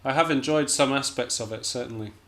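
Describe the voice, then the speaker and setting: A man's voice: mid-range voice, fairly quick, fairly bright tone. A man from Scotland chatting casually as an interview guest, in a hesitant, understated and self-deprecating way. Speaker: interview guest, jazz pianist and musician.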